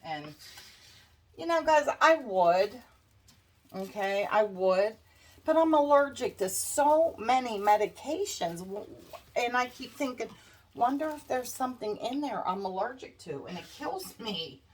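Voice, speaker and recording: Stuffy-nosed woman, chatty craft vlogger, slow and fretful, mic set back, faint hiss. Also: fairly high pitch, lively intonation, a little mellow. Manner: chatty, worried, addresses viewers as guys. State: congested, stuffy nose. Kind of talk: casual chat while crafting. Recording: distant mic with faint background noise.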